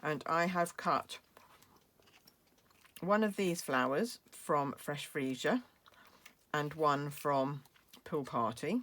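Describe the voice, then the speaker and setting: A British woman demonstrating a craft project, chatty and explaining each step with fillers, her voice going hoarse. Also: low-pitched, a bit bright. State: losing her voice, coughing. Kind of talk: crafting tutorial.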